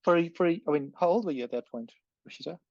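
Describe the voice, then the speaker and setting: A male podcast host talks quickly in casual chat, often repeating words and restarting his sentences. His voice is mellow and warm at a middle pitch. The recording is dry and a little dull and lo-fi. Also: no echo. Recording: dull, slightly lo-fi.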